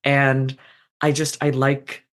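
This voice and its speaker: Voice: medium pitch, completely flat delivery, slow pace, a bit bright. A podcast guest, a fan-fiction author, talking casually and thoughtfully, with many restarts and "um"s. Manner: thoughtful, rambling with restarts and fillers.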